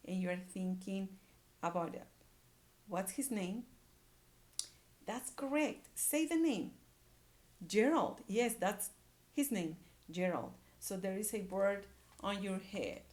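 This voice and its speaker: A woman leads a read-aloud lesson for young children at a slow, careful pace. Her mid-range voice rises and dips in a lively way. She repeats key words and keeps putting questions to her listeners. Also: mid-range voice. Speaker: early-grade reading teacher.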